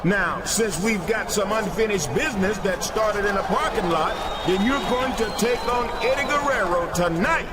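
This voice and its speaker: An actor playing a vindictive, taunting wrestling general manager with slangy, menacing talk in a video game cutscene. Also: male voice, high voice, normal speed, hardly any pauses, a loud crowd, heavy background noise, natural voice. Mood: swaggering announcement.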